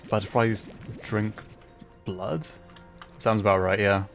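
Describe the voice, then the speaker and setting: A man's voice: on the low side, steady tone, takes it slowly, vocal fry, faint music behind. A man casually chatting on a gaming livestream, thinking aloud in a hesitant way. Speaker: streamer. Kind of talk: casual livestream gameplay chat.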